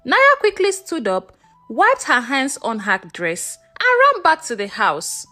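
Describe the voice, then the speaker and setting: Woman storyteller, high voice, very lively intonation, unhurried dramatic narration, soft music behind. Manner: measured, expressive storytelling delivery. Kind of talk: scripted dramatic folktale narration.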